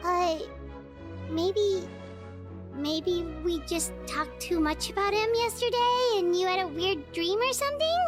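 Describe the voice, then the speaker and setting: A woman's voice: extremely high pitch, measured pace, over music. A woman voicing a tiny, bubbly floating companion girl in a video game, who talks about herself in the third person. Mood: puzzled. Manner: bubbly, excitable, childlike.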